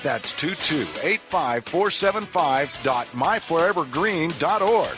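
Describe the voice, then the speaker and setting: A man's voice: a little high, lively intonation, measured pace. A man reading a short scripted radio advertisement as announcer. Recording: dull lo-fi audio, music bed.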